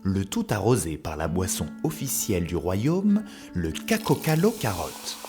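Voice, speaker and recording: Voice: low-pitched, sing-song, measured pace. A narrator telling a children's cartoon story in a theatrical, mock-epic way. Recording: loud guitar music behind the voice. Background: plucked guitar music.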